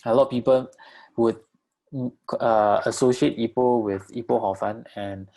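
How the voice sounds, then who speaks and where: A man from Malaysia giving a virtual talk about his venture and taking audience questions, with many pauses, fillers and restarts. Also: mid-range voice, fairly even intonation, dark tone. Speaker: co-founder presenting his enterprise.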